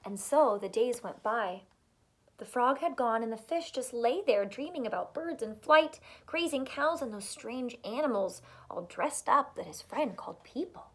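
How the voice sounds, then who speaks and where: A woman with a slightly high voice reads a picture book aloud as a teacher would for children. Her delivery is expressive, with dramatic pauses and emphasis. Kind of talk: storybook read-aloud narration for children.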